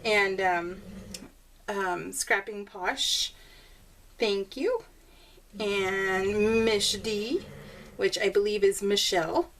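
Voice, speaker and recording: Female crafting vlogger, medium pitch, giggly and informal, rambling chat straight to viewers. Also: steady tone, extremely slow, pauses often, a bit bright, a little hiss or hum, rough recording. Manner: bubbly, giggly, chatty.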